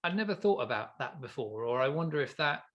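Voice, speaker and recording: A man's voice: a little high, big pitch swings, quick pace, fairly bright tone, muffled recording. A man co-hosting a podcast, sounding stuffy and nasal from hay fever, rambling with stammered restarts and frequent laughter. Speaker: podcast co-host. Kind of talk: casual chat.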